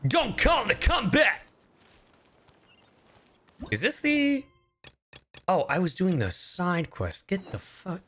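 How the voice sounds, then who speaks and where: A man's voice: high-pitched, takes it slowly, pauses often. A man chatting casually and swearing freely as he live-streams a game. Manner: animated, sweary. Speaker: streamer and voice actor. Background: video game music.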